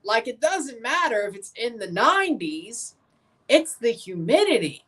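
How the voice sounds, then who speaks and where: A woman's voice: fairly high pitch, very animated, a little slow, sharp tone. Woman hosting a casual soccer watchalong. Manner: repeats and corrects herself. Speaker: livestream watchalong host. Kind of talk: casual live football commentary and chat with viewers.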